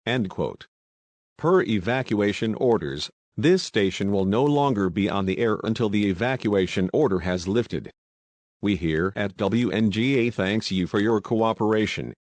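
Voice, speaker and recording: Local news anchor, male actor, composed scripted bulletin delivery, slightly low voice, no room echo. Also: close to an everyday voice.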